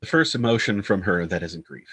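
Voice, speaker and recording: A man's voice: low-pitched, expressive, brisk, a bit bright, slightly rough. A man reading screenplay stage directions as narrator, in clipped, descriptive scripted phrases.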